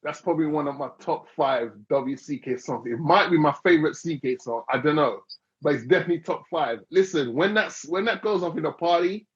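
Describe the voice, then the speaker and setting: A man hosts a relaxed interview, his voice husky and a little breathy and pitched slightly high. He teases his guest and winds through loose, playful questions. The sound is dull and lo-fi. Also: a little fast. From Dominica. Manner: playful, teasing, rambling questions. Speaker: interview host. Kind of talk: casual chat. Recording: dull lo-fi recording.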